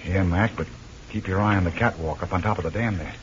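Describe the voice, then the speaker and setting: A muffled old radio recording with faint noise carries a man playing a bold adventure hero. He has a lowish voice and talks like a brisk, decisive leader. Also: natural voice. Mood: alert, commanding. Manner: brisk, plain-spoken, commanding. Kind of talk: 1940s radio serial acting.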